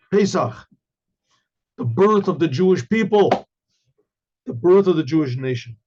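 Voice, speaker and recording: Hoarse rabbi clearing his throat. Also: mid-range voice, a little mellow, muffled recording. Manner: frequent throat clearing, halting restarts. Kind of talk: Torah class lecture.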